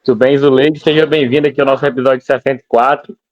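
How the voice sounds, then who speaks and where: A man's voice: medium pitch, fairly even intonation, quick pace, no echo. Man asking winding questions, muffled. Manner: long winding questions with repetitions. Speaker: podcast co-host. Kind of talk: conversational interviewing.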